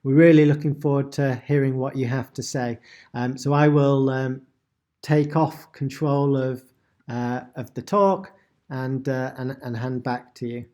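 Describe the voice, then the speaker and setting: A man's voice: mid-range voice, fairly even intonation, unhurried, mellow. A man hosting an online seminar, presenting examples in a rambling way with many filler sounds. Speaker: seminar host. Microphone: video call.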